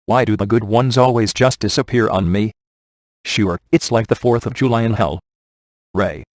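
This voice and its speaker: A wisecracking middle-aged ghost hunter played by a man for a video game, speaking in a fairly low voice. He is cocky and flirtatious, tossing off sarcastic one-liners with dry glibness. The sound is very close and free of room echo. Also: normal speed, natural voice. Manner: dry, sarcastic, glib delivery.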